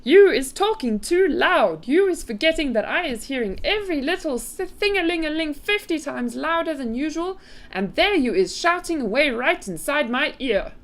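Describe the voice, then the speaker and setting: A woman voicing a huge, kindly, excitable male giant from a children's storybook, with muddled grammar and made-up words. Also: fairly high pitch, moderate pace, pitched above the performer's own voice. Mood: pained, shouting. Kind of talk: children's storybook read aloud.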